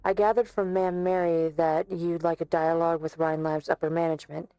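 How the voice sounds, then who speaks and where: A woman voicing a composed, low-voiced doctor. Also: little pitch movement, fairly quick, mellow, clean tone, no room sound, faint music behind, natural voice, rough recording. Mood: steady. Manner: composed, polite and firm. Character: calm, formal female doctor. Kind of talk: amateur fan dub acting.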